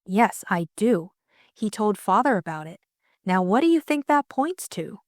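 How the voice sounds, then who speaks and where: A woman voicing a brisk, practical and forthright young society woman in an audiobook, blunt and exclamatory. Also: medium pitch, big pitch swings, no echo, clean studio sound. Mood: excited.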